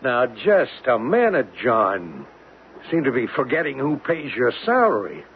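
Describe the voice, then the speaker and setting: Blunt, animated oil company boss. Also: male voice, medium pitch, a little slow. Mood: stern. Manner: blunt, insistent. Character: hard-nosed, impatient oil company boss, male. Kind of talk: 1970s radio drama acting. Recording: tinny, noisy old radio broadcast.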